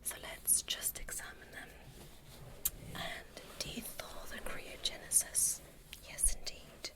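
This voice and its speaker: A woman voicing a courteous, curious female alien space doctor in a soft, breathy, soothing whisper, as ASMR roleplay. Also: lightly acted. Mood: pleased, observing. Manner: slow, soothing, gently instructing. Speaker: ASMR performer.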